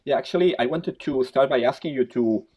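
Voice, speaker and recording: A man hosting a podcast, reading a measured introduction and then interviewing his guests. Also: medium pitch, breathy edge. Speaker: podcast host. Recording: dull lo-fi sound.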